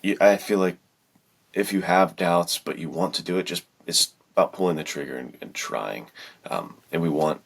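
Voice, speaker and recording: Deep-voiced man in casual podcast chat. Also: normal speed, gravelly. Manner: slangy, encouraging. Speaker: podcast host.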